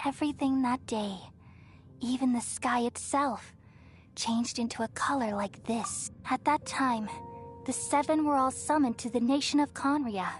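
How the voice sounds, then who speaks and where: A woman playing a serene, wise goddess in a video game, speaking gently and in a measured, reassuring way. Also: high-pitched. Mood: solemn. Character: serene, wise female deity, remnant consciousness of a dead ruler. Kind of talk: scripted video game voice acting. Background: background music.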